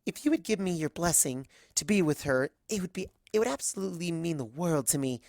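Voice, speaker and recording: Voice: medium pitch, animated, fairly quick, darkish tone, dry recording, clean studio sound, pitched above the performer's own voice, natural voice. A performer voicing a young female pony, nervous yet brave, who speaks in an earnest, hesitant, gushing way in a story reading. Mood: earnest, determined.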